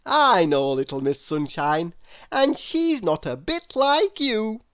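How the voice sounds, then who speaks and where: A woman's voice: mid-range voice, big pitch swings, slow pace, a bit bright, dry recording. A woman voicing a friendly, good-natured male cartoon character from a storybook, with emphatic, exaggerated delivery. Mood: puzzled.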